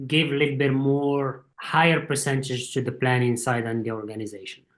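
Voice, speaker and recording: Man, 40s, middle pitch; thoughtful interview guest who explains at length. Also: little pitch movement, fairly quick, a bit bright. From Morocco. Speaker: guest, supply chain executive. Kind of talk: casual interview.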